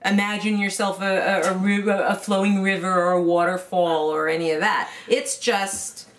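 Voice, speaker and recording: A middle-aged woman from New York, working as a vocal coach, explains breath support in an earnest, roundabout way, often restarting her sentences. Her voice sits at an ordinary middle pitch for a woman. She is recorded on a camera mic in a room at home. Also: fairly even intonation, normal speed. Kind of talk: casual interview, explaining singing technique. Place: indoor home room lined with CD shelves.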